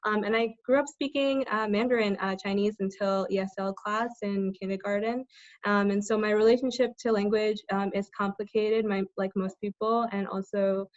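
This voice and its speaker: A woman's voice: medium pitch, fairly even intonation, moderate pace, no echo. A young woman who is a tenant organizer, speaking on a video call with many hesitant ums and uhs. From United States. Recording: muffled, dry video call audio.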